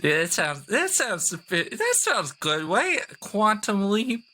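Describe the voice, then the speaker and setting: A man's voice: high-pitched, wildly swooping pitch, takes it slowly, bright, forceful tone. A man playing a tabletop role-playing game, bantering casually and improvising as he goes.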